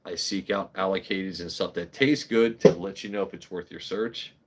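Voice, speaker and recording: A man's voice: deep voice, steady tone, normal speed, very noisy. A man in his thirties hosting a casual livestream, talking bluntly with lots of swearing and rambling asides. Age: 30s. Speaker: whiskey channel host.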